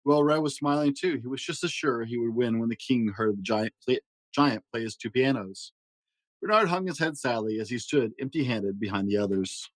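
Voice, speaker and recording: A man's voice: mid-range voice, normal speed, no room sound, rough recording. Man reading a storybook aloud, dry sound. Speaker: storyteller and host. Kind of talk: children's storybook narration.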